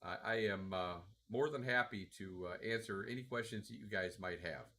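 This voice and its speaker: A man with a bright, forceful voice chats casually on a home game stream, sitting back in a recliner. He reads viewer comments aloud and answers them in a loose, rambling way, often restarting sentences and filling gaps with "uh" and "um." He sometimes drifts away from his desk mic. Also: on the low side, normal speed. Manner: relaxed, rambling, friendly, many fillers and restarts. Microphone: desk mic, sometimes too far from it.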